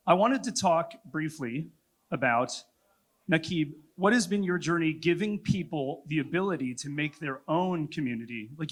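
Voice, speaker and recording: A man's voice: a little high, fairly bright tone. A man moderating a conference panel, talking in a reflective, loosely rambling way with filler words.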